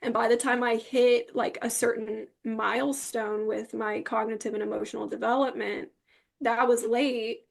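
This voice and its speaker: A woman's voice: a little high, flat delivery, normal speed, creaky. A woman speaking as an interview guest, giving candid personal testimony in an earnest, rambling way that often seeks agreement. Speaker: interview guest recounting personal experience with psychiatric medication.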